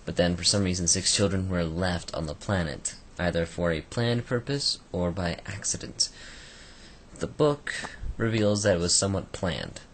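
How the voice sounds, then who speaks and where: Male book reviewer, very deep and croaky, casual and rambling, faint hiss behind. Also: normal speed. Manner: rambling, many fillers and restarts.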